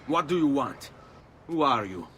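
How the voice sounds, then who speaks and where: A man's voice: medium pitch, very animated, measured pace, fairly bright tone, a little hiss or hum. An actor playing a determined young nobleman turned assassin with an Italian accent, courteous but direct in a game cutscene. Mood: firm, wary. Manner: earnest, polite but firm. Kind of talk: video game cutscene acting.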